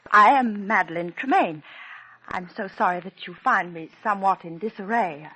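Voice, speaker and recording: An actress playing a poised, genteel, scheming young stage actress in a 1940s radio drama, with theatrical composure. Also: female voice, medium pitch, normal speed, pushed and bright, husky, lo-fi sound. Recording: vintage 1940s radio recording.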